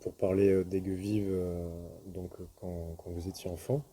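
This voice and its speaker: A man interviewing a couple, asking halting, gentle prompting questions. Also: deep voice, level tone, a little hiss or hum.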